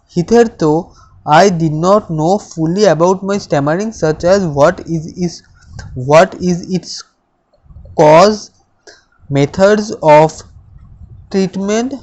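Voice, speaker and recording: A speaker, reading self-affirmations aloud slowly and deliberately, stammering with repeated words and restarts. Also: medium pitch, darkish tone, lo-fi sound. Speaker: speech practice coach.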